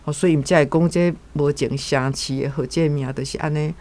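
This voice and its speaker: A man's voice: a little high, fairly even intonation, a little fast, darkish tone. A man with a Taiwanese accent giving conversational commentary on a story.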